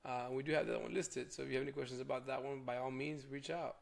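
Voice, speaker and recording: Hoarse, gravelly man rambling casually. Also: medium pitch, steady tone, fast. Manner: rambling, filler-heavy. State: hoarse from a cold. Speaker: realtor. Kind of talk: casual vlog walkthrough.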